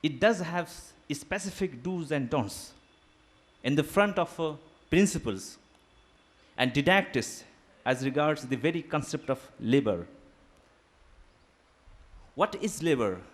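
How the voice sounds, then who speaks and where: Man, Urdu native, formal lecture, academic and deliberate, slightly high voice. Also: lively intonation. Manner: deliberate, academic, pauses with fillers. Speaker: guest lecturer. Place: seminar hall.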